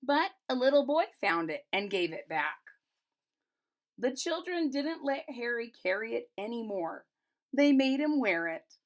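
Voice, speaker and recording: A woman reading a children's storybook aloud, pausing to retell and explain it simply for young listeners. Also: on the high side, big pitch swings. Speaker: storybook reader. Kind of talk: children's picture-book read-aloud with retelling in plain words.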